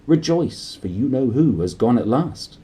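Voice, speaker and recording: A man voicing a tiny, overjoyed old man with a squeaky voice in a storybook reading. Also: big pitch swings, measured pace, dark tone.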